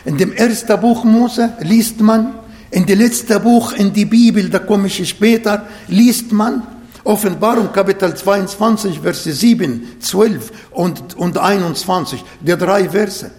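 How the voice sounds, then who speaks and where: Male preacher, high voice, german, emphatic scripture reading, grammar slips. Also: measured pace, a little mellow, long reverb tail. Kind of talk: sermon.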